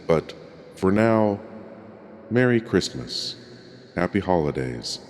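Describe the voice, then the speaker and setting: A man playing a spooky, theatrical horror-show host, speaking slowly and ominously as he signs off. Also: deep voice, very animated, voice pushed down, full character voice. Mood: ominous, gleeful. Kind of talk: scripted horror-host sign-off. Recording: long cavernous reverb.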